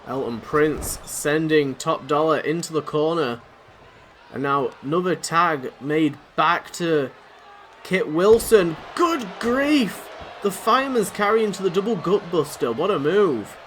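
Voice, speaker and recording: A man doing excitable, rambling play-by-play commentary over a wrestling video game, his voice going hoarse. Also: on the high side, unhurried. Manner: excitable, rambling, self-deprecating. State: losing his voice. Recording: noisy background.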